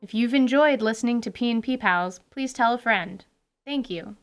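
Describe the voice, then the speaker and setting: A woman giving vivid, deliberate scripted narration for a fantasy radio drama. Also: on the high side. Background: music.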